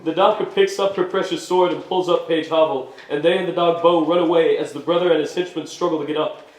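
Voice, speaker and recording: A man's voice: on the high side, fairly even intonation, quick pace, a little mellow. A man reading stage directions as the narrator of a live staged reading, in a scripted dramatic style. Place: indoor stage at an arts venue. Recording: echoey stage room.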